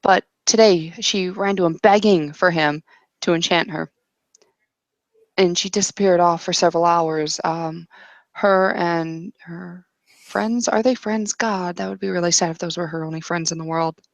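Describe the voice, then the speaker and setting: A woman's voice: on the low side, fairly even intonation, unhurried, no echo. A woman casually narrating an improvised tabletop roleplay as game master, hesitant and thinking aloud as she goes.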